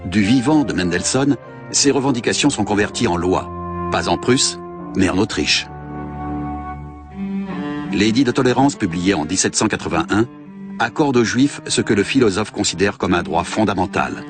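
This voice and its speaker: Deep male voice-over, measured documentary read, music underneath. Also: lively intonation. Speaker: documentary narrator. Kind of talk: scripted documentary narration. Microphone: studio voice-over mic. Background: background music.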